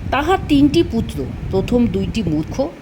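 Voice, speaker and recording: A woman reading a literary short story aloud in an expressive storytelling style. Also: a little high, normal speed, background noise.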